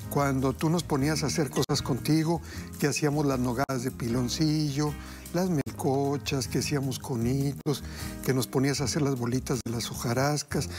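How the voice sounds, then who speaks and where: A man's voice: mid-range voice, steady tone, moderate pace, music in the background. A middle-aged man hosting a TV cooking show, chatty and folksy, talking to viewers while he cooks. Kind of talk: cooking demonstration. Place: TV studio kitchen set.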